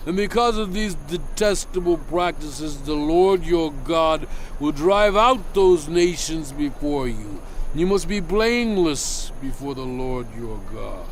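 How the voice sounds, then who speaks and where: A man playing a solemn lawgiver prophet in a dramatized scripture reading, declaiming deliberately to his people. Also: on the high side, a little hiss or hum. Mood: stern, warning. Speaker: narrator voicing a prophet in a dramatized audio Bible. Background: background music.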